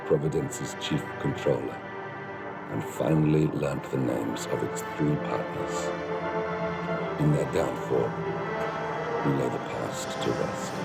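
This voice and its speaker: An actor playing a gruff, driven rebel leader in a video game cutscene, speaking in a low, terse, brooding way. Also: male voice, exaggerated voice. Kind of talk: cinematic video game voice acting. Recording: loud film score underneath.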